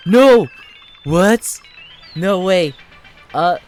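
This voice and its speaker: A woman doing let's play commentary on a game, excitable and quick to exclaim. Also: fairly low pitch, soft background music. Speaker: gaming YouTuber.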